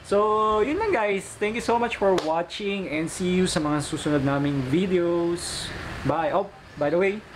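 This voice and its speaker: A man's voice: high voice, lively intonation, normal speed, background noise. A man in his thirties with a Filipino accent, chatting casually as a father hosting a family vlog. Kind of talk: casual family vlog chat, mixing Tagalog and English. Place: small furnished living room. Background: background music.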